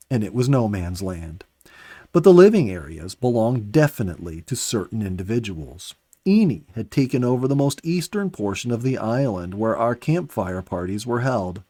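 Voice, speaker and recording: A man reading a storybook aloud as narrator, with an expressive, deliberate storytelling delivery. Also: medium pitch, no echo.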